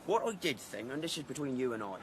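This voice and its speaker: A man's voice: medium pitch, big pitch swings, fast talker, over music, natural voice. A man playing a sly, cautious farmer with a rural English accent, confiding in a radio drama. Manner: rustic, confiding.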